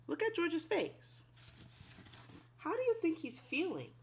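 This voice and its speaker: A woman's voice: very high-pitched, huge pitch swings, unhurried, a little hiss or hum. A woman reading a children's picture book aloud expressively, in an instructive way, asking young listeners simple questions. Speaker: read-aloud storyteller, teacher.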